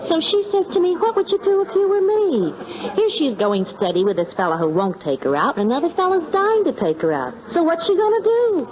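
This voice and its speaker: A woman's voice: very high-pitched, wildly swooping pitch, a little fast, full character voice. Gossipy American shopgirl, swooping delivery. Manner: chatty, brushes customers off by rote. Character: gossipy, indifferent young female department store clerk. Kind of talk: 1950s radio comedy character acting. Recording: muffled vintage radio recording.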